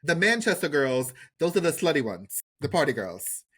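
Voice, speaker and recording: A man's voice: a little high, sing-song, normal speed, a bit bright, husky. A man co-hosting a casual recap podcast, talking in a slangy, emphatic way with free swearing and repeated phrases. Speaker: podcast co-host. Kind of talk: casual chat, TV show recap.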